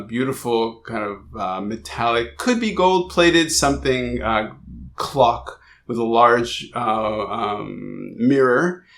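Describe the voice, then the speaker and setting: A man hosting an interview podcast, describing a photograph slowly with many pauses and fillers. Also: medium pitch. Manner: hesitant, describes at length with fillers. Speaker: podcast host. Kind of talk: casual interview chat. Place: guest's house.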